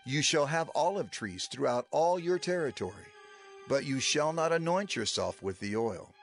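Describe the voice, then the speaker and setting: A man plays a solemn old prophet addressing a whole people in a dramatized scripture recording. His voice rides a lively, swooping melody in a slightly raised register. Music plays steadily behind him. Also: on the high side, normal speed, gravelly. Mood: grave warning. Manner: grave, declaiming, rhythmic cadence. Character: aged male prophet warning his people, solemn and stern. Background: music underscore.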